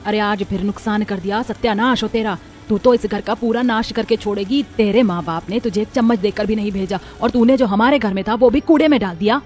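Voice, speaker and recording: A woman's voice: medium pitch, brisk, a little mellow, natural voice. A woman voicing a cruel, sarcastic older mother-in-law who scolds, in a cartoon family drama. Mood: angry scolding. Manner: harsh, nagging, sarcastic. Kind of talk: cartoon story voice acting. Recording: background music under voice.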